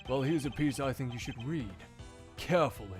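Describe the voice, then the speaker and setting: A man voicing a composed, stern, sardonic gentleman prosecutor in a video game dub, formal, measured and cutting. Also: mid-range voice, soft background music, pitched below the performer's own voice, lightly acted. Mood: coolly triumphant.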